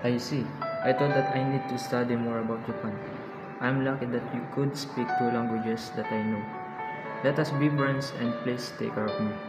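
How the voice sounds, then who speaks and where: A man playing an earnest, courteous young Filipino doctor in a scripted amateur radio drama, reciting in a measured, formal way. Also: mid-range voice, steady tone, close to an everyday voice. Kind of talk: scripted amateur radio drama, first-person narration and dialogue. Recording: roomy echo under loud music.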